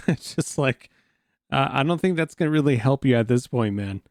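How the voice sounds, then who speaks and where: A man's voice: medium pitch, animated, normal speed, a little mellow, no room sound, clean studio sound. A man hosting a reaction video, reading text aloud and adding informal, incredulous asides. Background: music.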